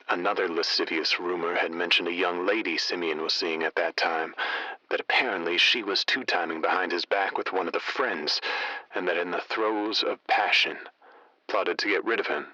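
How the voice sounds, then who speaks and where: Guy doing late night radio conspiracy host for an audio drama, real low voice, theatrical and deliberate, super dry close sound. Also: pushed and bright, no room sound, muffled recording. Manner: theatrical, deliberate, wryly florid phrasing.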